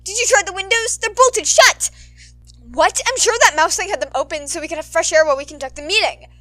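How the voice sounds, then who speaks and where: Cornered board member desperate to escape, urgent, high and tense, for a story reading woman performing. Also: a little fast, bright, forceful tone, no echo. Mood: panicked. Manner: frantic, urgent. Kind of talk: fan fiction audiobook reading.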